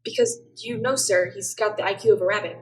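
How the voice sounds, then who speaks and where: A woman playing a brisk, knowing angel who guides and narrates in a radio play. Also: medium pitch, huge pitch swings, darkish tone, slight background noise, rough recording. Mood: dry, reporting. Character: brisk, knowing assistant angel guiding a newcomer.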